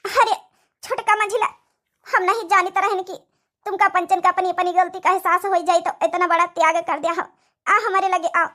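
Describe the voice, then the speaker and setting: A woman's voice: very high-pitched, steady tone, moderate pace, sharp tone. A woman voicing a devout old village mother-in-law in a cartoon, exclaiming emotionally with an Awadhi accent. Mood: moved, forgiving. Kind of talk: cartoon family drama acting.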